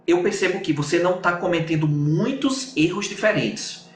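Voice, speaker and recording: A man with a northeastern Brazilian accent and a slightly high voice teaches in a casual, explanatory way. He repeats points for emphasis, chatting over a video call from an echoey room. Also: moderate pace. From Natal, northeastern Brazil. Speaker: English teacher. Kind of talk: casual online lesson chat. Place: indoors on a Skype video call. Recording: roomy echo with faint noise.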